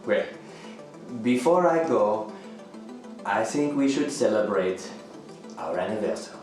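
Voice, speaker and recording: An actor playing an affectionate, melancholy housemate in a radio thriller, speaking in a slow, theatrical way. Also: male voice, lowish voice, lively intonation, a little mellow. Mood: warm, celebratory. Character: melancholy, affectionate young male housemate, gently teasing. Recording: roomy echo, music underneath.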